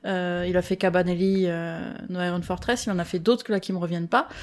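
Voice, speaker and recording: A woman's voice: lowish voice, steady tone, a little fast. A woman chatting casually and enthusiastically as a guest on a podcast.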